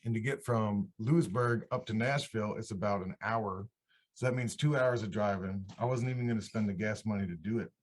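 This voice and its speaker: A man's voice: on the low side, fairly quick, a little hiss or hum. A man in his thirties from upstate New York, a country singer telling his story in a casual, rambling interview. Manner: rambling, relaxed, restarts mid-sentence. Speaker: country singer-songwriter guest.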